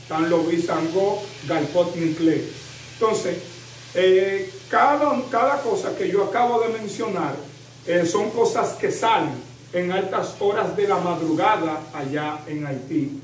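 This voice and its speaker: A Dominican man in his thirties with a somewhat high voice, answering as a preacher in an earnest, rambling way full of fillers. He sounds set back from the mic in a roomy space with a faint hiss behind him. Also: a little slow. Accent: Dominican Spanish. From Dominican Republic. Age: 30s. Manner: earnest, addresses listener as usted, frequent fillers. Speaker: preacher guest. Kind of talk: storytelling interview answers. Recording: roomy echo, distant mic, faint noise.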